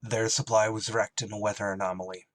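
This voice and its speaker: An actor playing a gruff, tormented emergency ship pilot in a radio drama, terse and weary under strain. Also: male voice, fairly low pitch, little pitch movement, a little fast, dry recording, studio quality, natural voice. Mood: grim, reluctant. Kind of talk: radio drama acting with narrated inner monologue.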